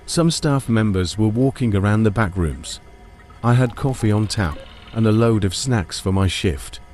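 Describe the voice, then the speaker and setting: A man's voice: fairly low pitch, expressive, normal speed, darkish tone, soft background music. A man narrating a first-person dramatised audiobook, also voicing its lead character.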